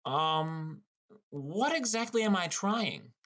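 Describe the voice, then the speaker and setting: A man's voice: sits high, lively intonation, slow, a bit bright, voice pushed up, exaggerated voice. A man voicing a hesitant, questioning young male newcomer to a fantasy game. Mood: unsure.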